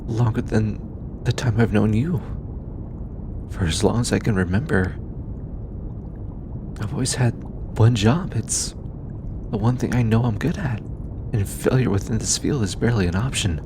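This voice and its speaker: An earnest, self-doubting alien scientist played by a man in an intimate audio drama. He speaks in a lowish voice at an unhurried pace, hesitant and easily flustered. A noisy rumble sits behind the recording. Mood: vulnerable, anxious. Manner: hesitant, halting, stammering, sighing. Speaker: voice actor. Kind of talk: scripted audio roleplay, intimate one-sided dialogue. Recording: clearly noisy, low rumbling background.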